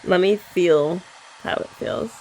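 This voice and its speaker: Young woman, ASMR girlfriend roleplay, sweet and soft-spoken, slightly low pitch. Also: slow, a little hiss or hum, poor audio. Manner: soft, chatty and sweet, often laughing softly.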